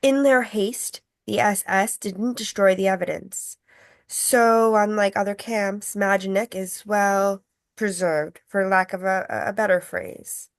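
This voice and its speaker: A woman acting a polite, earnest tour guide, measured and explanatory, in a film script read. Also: medium pitch, steady tone, dry recording. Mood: solemn, earnest.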